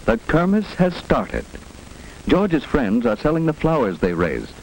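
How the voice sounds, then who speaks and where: A man's voice: medium pitch, huge pitch swings, unhurried. A man narrating a 1940s educational film in a simple, instructive manner suited to children. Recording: noisy 1940s film soundtrack with hiss.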